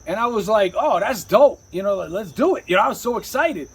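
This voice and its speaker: Guy rapper chatting in an interview, high husky voice, slangy and rambly, lots of you knows. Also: lively intonation, fairly quick. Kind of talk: casual interview storytelling.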